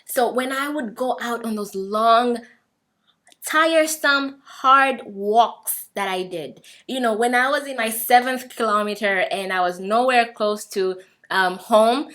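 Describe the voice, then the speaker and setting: A woman's voice: on the high side, a little slow, a bit bright. A young woman whose first language is Swahili talks casually and earnestly to the camera, encouraging listeners, with many fillers and restarts. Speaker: fitness vlogger.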